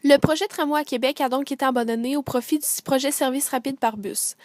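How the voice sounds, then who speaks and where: A woman reads documentary narration from a script in a clear, fairly high voice. The sound is dry and close, with no room echo. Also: steady tone, fairly quick.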